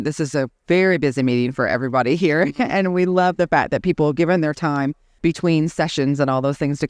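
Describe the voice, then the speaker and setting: A woman's voice: lowish voice, clean tone, dry recording. Effusive woman hosting, dry close sound. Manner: effusive, laughs often. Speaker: podcast host. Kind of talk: conference podcast interview. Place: exhibit hall at a congress.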